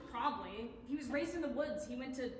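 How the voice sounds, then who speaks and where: A woman's voice: high-pitched, lively intonation, a little fast. A woman acting a brash, playful young student in a staged play reading, blunt and sarcastic. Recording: echoey room, faint noise.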